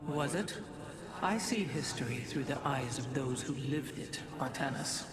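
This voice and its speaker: An actor playing a stern, devout alien keeper of memories in a video game, speaking formally and didactically. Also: male voice, mid-range voice, lively intonation, unhurried, over a noisy crowd, close to an everyday voice. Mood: proud, reflective. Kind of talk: dramatic video game dialogue.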